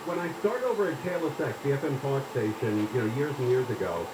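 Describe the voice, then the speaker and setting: A man's voice: medium pitch, sing-song, fast, roomy sound, background noise. A man in his forties hosting a casual talk radio show, rambling and folksy, often tagging his sentences with "right?". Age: 40s.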